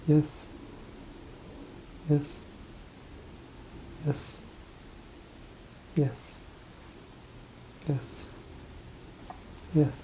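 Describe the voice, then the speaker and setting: A woman quietly murmuring brief, hesitant answers as the patient in an ASMR exam roleplay. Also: low-pitched, little pitch movement, very slow, long pauses, slight background noise, rough recording. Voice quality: hushed, murmured.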